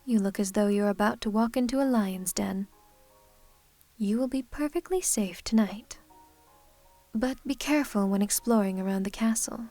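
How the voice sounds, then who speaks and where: A woman playing a gracious, commanding, centuries-old vampire hostess in an ASMR roleplay, speaking formally with gentle teasing. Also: medium pitch, normal speed, dry recording, faint music behind, close to an everyday voice. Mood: reassuring, poised.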